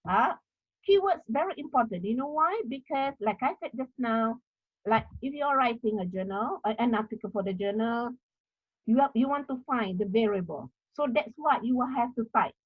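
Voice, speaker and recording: A woman's voice: a little high, expressive, moderate pace, slightly rough, dry recording, phone-quality sound. A middle-aged woman from Malaysia with a Malaysian English accent, giving an academic talk on article writing, repeating herself with many fillers. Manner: repetitive, explanatory, many fillers. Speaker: university lecturer.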